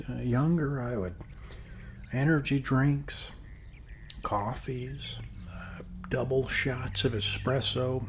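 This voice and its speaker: A man speaking softly and unhurriedly in a friendly, casual ASMR roleplay, as a neighbor chatting one-on-one, with frequent pauses. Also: medium pitch, a little hiss or hum. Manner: soft-spoken, friendly, unhurried, pausing often. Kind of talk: ASMR roleplay, one-sided casual chat over coffee.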